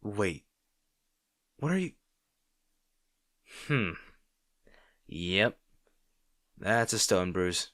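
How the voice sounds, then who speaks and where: A man acts out a male supervillain rival in an audio roleplay, speaking straight to the listener. His voice sits low and is recorded very dry, with no room around it. He is sardonic and teasing, with a hidden caring streak that makes him halting when flustered. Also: expressive, a bit bright, no room sound, exaggerated voice. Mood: softer, concerned. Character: snarky male supervillain rival, secretly worried about his hero. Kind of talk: dramatic roleplay monologue addressed to the listener.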